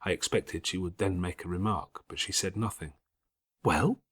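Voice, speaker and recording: A man narrating a literary audiobook in a deliberate, expressive, formal manner. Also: deep voice.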